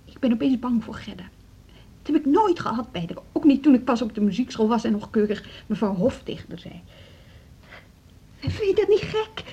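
A woman acting in a radio drama as an overwrought, self-doubting music teacher, with a husky voice and an edgy, emotional, halting delivery. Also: a little high, sing-song, warm tone, dull audio. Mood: troubled, self-doubting.

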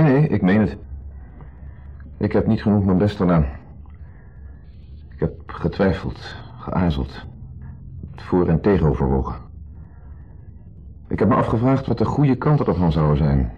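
A guarded, insecure husband in a 1970s radio drama. He speaks low and huskily, with reluctant, noncommittal reserve. The sound is that of a dull old radio recording. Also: lively intonation, unhurried, long pauses, husky, exaggerated voice. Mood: uneasy, hesitant. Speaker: actor.

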